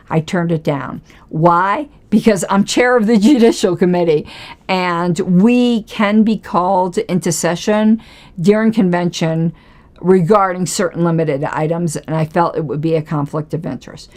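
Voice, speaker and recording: A hoarse woman with a lowish voice hosts a casual political commentary livestream. She talks straight to her viewers and argues her case with pointed rhetorical questions. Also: darkish tone.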